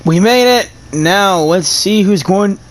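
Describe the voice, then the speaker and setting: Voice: mid-range voice, expressive, takes it slowly, slight background noise. An actor voicing a young traveler hero in a game, speaking tersely and plainly.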